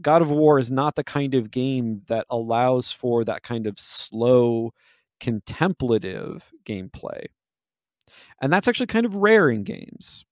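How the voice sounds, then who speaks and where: A man's voice: mid-range voice, animated, a little slow, no room sound, studio quality. A man hosting a podcast, talking through game analysis in a wordy, analytical way and often rephrasing himself mid-sentence. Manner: analytical, wordy, hesitant self-interrupting phrasing. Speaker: podcast host.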